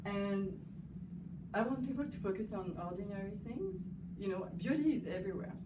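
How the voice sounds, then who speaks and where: A woman's voice: medium pitch, lively intonation, moderate pace. A woman from France with a French accent, talking casually about her art in an interview, hesitant and full of fillers. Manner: hesitant, many fillers, warm laughter. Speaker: guest artist. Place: cafe gallery. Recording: echoey room, distant mic, background noise.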